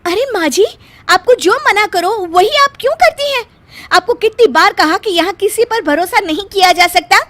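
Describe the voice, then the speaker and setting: A woman voicing a curt, bossy modern city daughter-in-law in a family story, with sharp, exclamatory delivery. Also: extremely high pitch, moderate pace, no room sound, voice pushed up. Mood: indignant, scolding.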